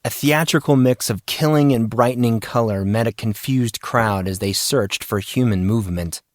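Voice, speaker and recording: A man's voice: medium pitch, moderate pace, no room sound, studio quality. A man reading scripted fiction as a dramatic audiobook narrator.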